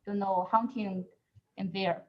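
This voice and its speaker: A woman's voice: medium pitch, fairly even intonation, a little slow, dull audio. A woman researcher answering questions on a video call, hesitant and full of fillers as she searches for words. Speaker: researcher presenter. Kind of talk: answering questions in a second language.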